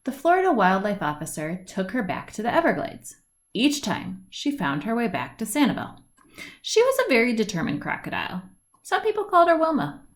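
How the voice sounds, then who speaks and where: A woman reading a children's picture book aloud, with deliberate phrasing, stretched words and lilting lists. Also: fairly high pitch, wildly swooping pitch. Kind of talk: read-aloud storybook narration. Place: indoors at home, at a wooden table by a window.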